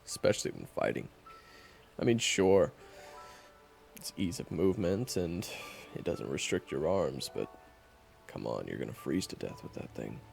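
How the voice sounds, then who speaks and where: A man playing a sardonic, guarded monster hunter in a fantasy audio roleplay, with a gravelly voice and a dry, teasing, bluntly dismissive manner. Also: a bass voice, expressive, normal speed, pauses often, a little mellow, soft background music, exaggerated voice. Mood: teasing, scolding. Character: sardonic, guarded young male monster hunter, begrudgingly chatty. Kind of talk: fantasy audio roleplay acting, addressed to the listener.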